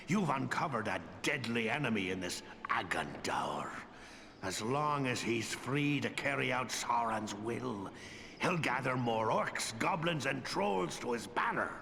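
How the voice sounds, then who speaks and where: An actor playing an older, bearded dwarf lord in a fantasy game, hearty and grave, with gravelly, emphatic, old-fashioned speech. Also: male voice, mid-range voice, sing-song, unhurried, pushed and bright, exaggerated voice. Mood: earnest, urgent. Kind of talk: fantasy video game character acting. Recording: reverberant hall, faint background noise.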